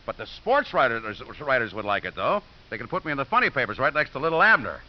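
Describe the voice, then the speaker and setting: An actor playing a brash, cynical, wisecracking American football coach in a 1950s radio drama, blunt and slangy. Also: male voice, medium pitch, sing-song, fast talker, natural voice. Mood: wry, softening. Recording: muffled, hissy 1950s radio recording.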